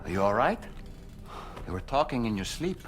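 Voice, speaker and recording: Low-voiced, concerned male companion. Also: very animated, normal speed, faint music behind. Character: concerned male companion watching over a sleeper. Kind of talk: game cutscene dialogue.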